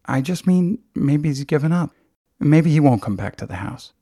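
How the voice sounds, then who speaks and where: A man's voice: a little high, lively intonation, normal speed, dark tone, no room sound, clean studio sound, voice pushed up. Man voicing a frantic, breathless, skittish mother. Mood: meek, reassuring. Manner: breathless, skittish, stammering, words tumbling. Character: frightened, frantic mother of a college student.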